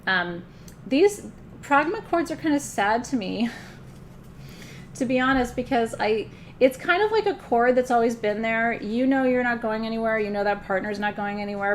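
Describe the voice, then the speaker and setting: Chatty woman teacher with gravelly fry. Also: on the high side, slight background noise. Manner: chatty, often checks in with the listener; loose, frequent fillers and restarts. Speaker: spiritual teacher leading a class. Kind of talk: conversational live class lecture.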